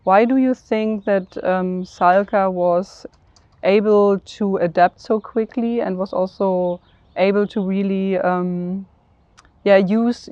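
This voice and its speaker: A woman speaks as a panelist in a conversational discussion. Her delivery is fairly flat in pitch, earnest and measured, feeling out phrases as she goes. The recording is dry and somewhat dull. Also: no room sound. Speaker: panelist, programme head.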